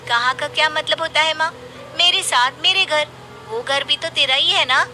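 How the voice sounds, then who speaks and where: Woman as a pushy, high, bright young daughter. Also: normal speed, a little hiss or hum. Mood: persuasive. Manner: wheedling, insistent. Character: pushy, scheming young adult daughter, greedy and sweet-talking. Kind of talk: animated moral story dialogue.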